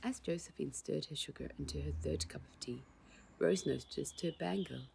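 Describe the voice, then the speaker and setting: A woman's voice: low voice, very animated, moderate pace, creaky, darkish tone, a little hiss or hum. A woman reading a children's storybook aloud as scripted narration, as its author.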